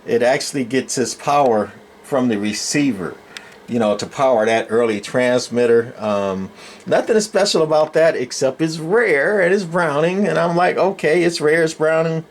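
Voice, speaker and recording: A man's voice: fairly high pitch, normal speed, a little hiss or hum. A man giving a casual, unscripted show-and-tell as a hobby collector, rambling and hesitant with plenty of enthusiast jargon. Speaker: collector presenting his radio collection.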